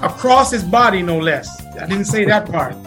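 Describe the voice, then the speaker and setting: A middle-aged man with a Jamaican accent hosting a podcast interview, chatty and quick to laugh. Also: high voice, lively intonation, faint music behind. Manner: warm, laughs often, repeats phrases. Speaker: podcast host. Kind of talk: casual interview chat.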